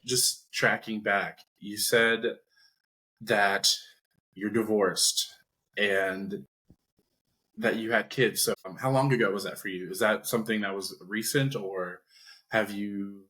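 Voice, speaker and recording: A man's voice: fairly low pitch, steady tone, takes it slowly, bright, forceful tone, poor audio. A man hosting a podcast, joking and casual as he interviews a guest. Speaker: podcast host.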